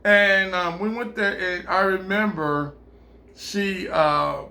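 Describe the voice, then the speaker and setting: A man's voice: sits high, steady tone, slow, creaky, bright, forceful tone, slight background noise. A man hosting his own vlog, telling a personal story in a casual, rambling way, full of ums and you-knows.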